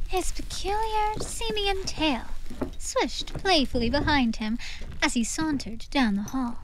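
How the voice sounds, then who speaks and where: A woman's voice: sits high, slight background noise, poor audio. A woman narrating a theatrical audiobook from a script, in a storytelling style.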